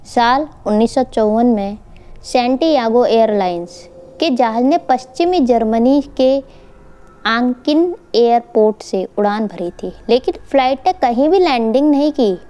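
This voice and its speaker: A woman's voice: fairly high pitch, dark tone. A woman narrating a mystery story for an online channel, informally addressing her listeners as host. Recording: faint background noise.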